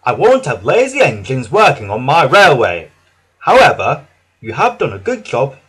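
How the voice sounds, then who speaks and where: A man voicing a stern male railway boss, loud and firm. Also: medium pitch, wildly swooping pitch, moderate pace, husky, full character voice.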